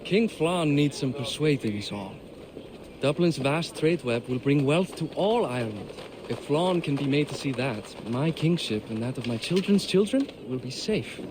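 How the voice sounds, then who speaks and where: An actor playing a proud, hearty middle-aged Viking king who is quick to anger, in a video game. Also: on the high side, expressive, noisy background, lightly acted. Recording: game cutscene with music.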